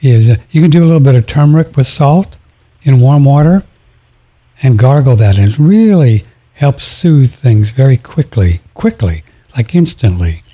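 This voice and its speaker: A man hosting a call-in radio show, relaxed and friendly, giving callers home remedies. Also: medium pitch, normal speed, breathy edge.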